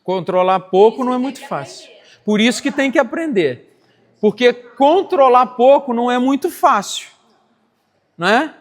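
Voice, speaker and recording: High-voiced man preaching in a reverberant church. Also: animated, a little slow. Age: 40s. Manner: emphatic, repeats phrases for stress. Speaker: pastor. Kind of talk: church teaching sermon. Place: church sanctuary.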